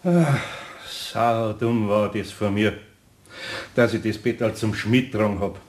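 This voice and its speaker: An actor playing a kindly, mild older master craftsman in a radio play, with a Bavarian accent and a gentle, hesitant manner. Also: fairly low pitch, steady tone, a little slow, natural voice. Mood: remorseful. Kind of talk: German radio play acting.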